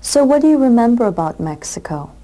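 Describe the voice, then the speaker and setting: A woman presenting a lesson, asking interview questions in a clear, measured way. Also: fairly high pitch, very animated, mellow, low-quality recording. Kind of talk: scripted educational interview.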